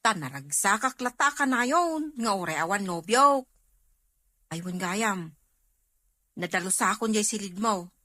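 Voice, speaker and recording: A woman playing a cheerful, teasing young farm woman in an expressive audio drama performance. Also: medium pitch, unhurried, pauses often, no room sound. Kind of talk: amateur Filipino audio drama acting.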